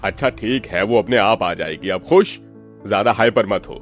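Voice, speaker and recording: A man acting as a middle-aged father-in-law in a cartoon family drama. His voice is low, and he runs the household kindly but firmly. Music plays clearly behind him. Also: moderate pace, lightly acted. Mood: placating. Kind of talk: Hindi animated family drama dubbing.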